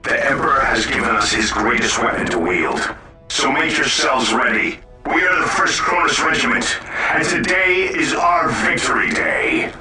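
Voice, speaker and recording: A man's voice: mid-range voice, lively intonation, moderate pace. A man playing a defiant, zealous army general in a video game, declaiming a bombastic speech over a distant, echoing broadcast. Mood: defiant, triumphant. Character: defiant, zealous male army general rallying against invaders. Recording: distant, echoing loudspeaker-like broadcast, faint music.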